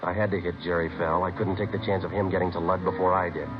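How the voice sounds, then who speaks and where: Guy playing deadpan undercover cop, old radio show, really deep and quick, clipped. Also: lightly acted. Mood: flat narration. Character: terse undercover narcotics detective, clipped and matter-of-fact. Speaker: lead actor and narrator. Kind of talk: 1950s radio crime drama acting and narration. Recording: muffled vintage radio recording, music behind.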